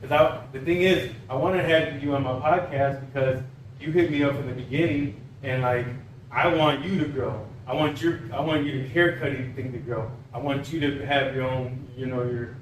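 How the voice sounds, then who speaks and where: A man's voice: medium pitch, fairly even intonation, normal speed, reverberant, recorded from a distance, slight background noise, low-quality recording. A Cuban-born man in his forties hosting a casual podcast interview, encouraging and repeating words as he talks. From Cuba. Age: 40s. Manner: encouraging, repeats words while searching for them. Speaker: podcast host.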